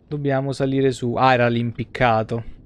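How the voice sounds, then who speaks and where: A man's voice: mid-range voice, level tone, moderate pace. A man, giving casual, sweary, joking live commentary on a video game. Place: indoor room, streaming setup.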